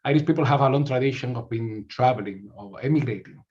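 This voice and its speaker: A man from Spain speaks English with a Spanish accent in a mid-pitched voice, giving an informational presentation. He often repeats words as he looks for the right phrasing. The audio sounds muffled and lo-fi. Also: quick pace, a little mellow. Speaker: university recruitment representative.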